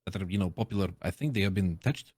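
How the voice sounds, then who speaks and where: A man's voice: deep voice, fast, a little mellow, breathy edge, no room sound. A man who is a native Russian speaker, reviewing game voice lines in a casual, chatty and jokey reaction conversation.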